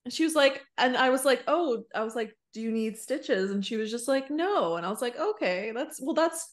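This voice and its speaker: A woman's voice: fairly high pitch, lively intonation, normal speed. A woman in her thirties chatting casually as a podcast co-host, retelling conversations in quoted back-and-forth. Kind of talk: casual chat. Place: indoor room on a video call.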